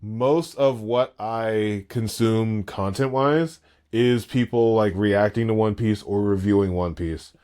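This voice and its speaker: A man in his late thirties chatting casually as a podcast co-host, an animated and gushing fan. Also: fairly low pitch, takes it slowly, clean tone. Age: 30s.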